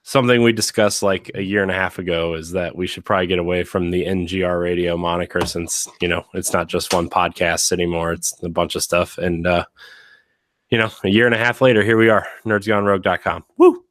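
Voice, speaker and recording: Deep-voiced man hosting a podcast, slightly raspy. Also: steady tone, fairly quick, fairly bright tone, a little hiss or hum. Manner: rambling, many fillers and restarts. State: slightly raspy from being sick. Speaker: podcast host. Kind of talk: casual chat.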